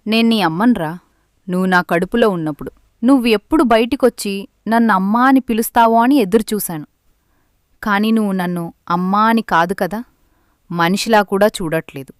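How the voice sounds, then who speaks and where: A woman acts out a devoted mother who has served her sentence and regrets it. She speaks in an earnest, emotional, imploring way at a middle pitch. The sound is dry, in the manner of a melodramatic cartoon story. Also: a little fast, pauses often, dry recording, natural voice. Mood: hurt, pleading. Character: remorseful, doting mother released from prison. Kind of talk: melodramatic Telugu moral-story cartoon voice acting.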